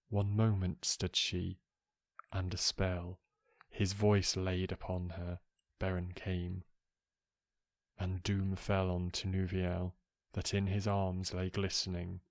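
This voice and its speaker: A man reading poetry for relaxation in a calm, measured, soothing manner. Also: sits low, no echo, studio quality. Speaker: poetry reader.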